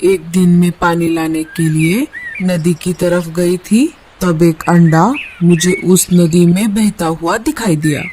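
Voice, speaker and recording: A woman's voice: fairly low pitch, fairly even intonation, slow pace, mellow, slight background noise. A woman playing a kind, caring old grandmother who explains things in a children's cartoon. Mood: kindly. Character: kind old grandmother living by a forest river.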